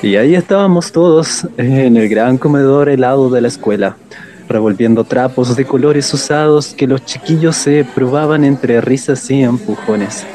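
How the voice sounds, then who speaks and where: Man acting lonely man looking back, literary radio monologue, mellow tone, medium pitch, wounded, reflective. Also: normal speed, natural voice. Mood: wistful, wounded. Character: lonely, wounded man recalling his bullied school childhood.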